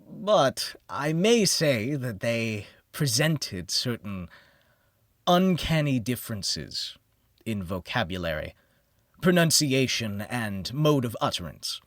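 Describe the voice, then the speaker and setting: A man narrating a gothic horror audiobook in a solemn, theatrical manner with deliberate pauses. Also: mid-range voice, big pitch swings, no echo, clean studio sound.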